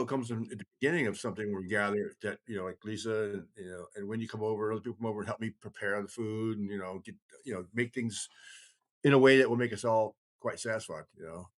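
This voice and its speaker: An older man in casual podcast conversation, rambling but emphatic, with blunt, salty language. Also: fairly low pitch. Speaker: kindred chieftain.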